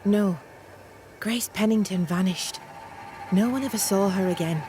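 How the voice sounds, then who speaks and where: A woman's voice: mid-range voice, measured pace, noisy background, lightly acted. An actress playing a guarded, reluctant colonial woman in a video game, speaking in a terse, wary manner. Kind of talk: scripted video game cutscene acting.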